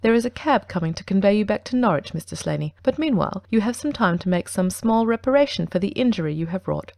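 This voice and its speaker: A woman playing a stern, commanding gentleman detective in a dramatic audiobook reading, formal and accusing. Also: medium pitch, expressive, quick pace, creaky, dry recording, clean studio sound. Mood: grave, insistent.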